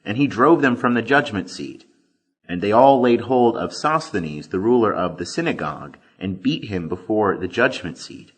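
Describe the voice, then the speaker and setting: Expressive male scripture reader, slightly husky. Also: fairly low pitch, moderate pace. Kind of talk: scripted audiobook narration of scripture.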